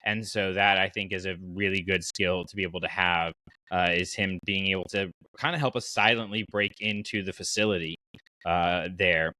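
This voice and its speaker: Bright-voiced, lowish-pitched man podcasting. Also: steady tone, normal speed, no echo, poor audio. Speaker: podcast host. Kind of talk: casual fan podcast discussion.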